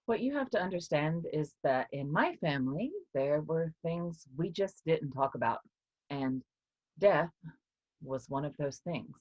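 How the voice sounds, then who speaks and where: Woman doing a weary guarded lady professor in a play, deep husky voice, measured, muffled lofi audio. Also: expressive, no room sound. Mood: guarded, troubled. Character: guarded female professor, haunted, reluctant, weary. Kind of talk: staged play reading. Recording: dull, low-fidelity sound.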